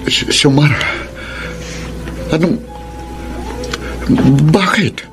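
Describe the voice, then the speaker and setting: Passionate, lovestruck Filipino politician. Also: male voice, fairly high pitch, drawn-out words, fairly bright tone. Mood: shocked. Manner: intense, dramatic, switches between Tagalog and English. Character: lovestruck male politician, earnest and passionate, wounded by betrayal. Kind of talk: Filipino radio drama acting. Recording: dramatic music behind the voice.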